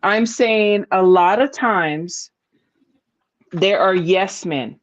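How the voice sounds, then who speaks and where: A woman's voice: mid-range voice, drawn-out words. Blunt, emphatic woman on a dull line. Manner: blunt, emphatic, laughs often, swears. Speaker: boxing podcast host. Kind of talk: casual panel chat. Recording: dull lo-fi sound.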